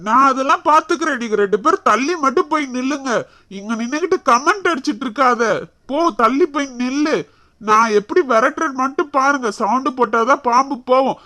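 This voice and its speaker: A performer voicing a bossy, confident young village husband, brisk and repetitive, in an animated story. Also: high voice. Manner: brisk, bossy, repeats himself.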